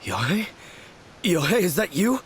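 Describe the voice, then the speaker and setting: A man's voice: high-pitched, big pitch swings, measured pace, slight background noise. A man voicing a timid young swordsman in a video game, nervous and earnest. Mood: shaken. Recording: game audio with faint music.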